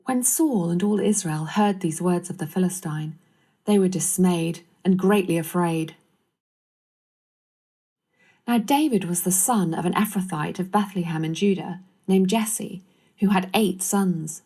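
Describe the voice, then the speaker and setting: A woman's voice: lowish voice, moderate pace, dark tone, slightly rough. A woman reading scripted narration of a Bible story.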